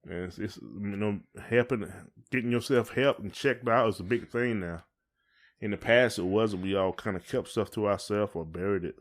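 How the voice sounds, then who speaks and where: A man's voice: lowish voice, normal speed. An American man co-hosting a podcast, talking in a casual, informal and colloquial way. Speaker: podcast co-host. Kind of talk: casual conversational chat.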